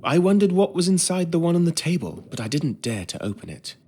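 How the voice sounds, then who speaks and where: A polite ship's steward in a period adventure game, telling his memories in a measured, reflective way. His voice is slightly high and melodically varied. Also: sing-song, darkish tone, breathy edge. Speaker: actor in a narrative adventure game. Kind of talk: scripted first-person period narration.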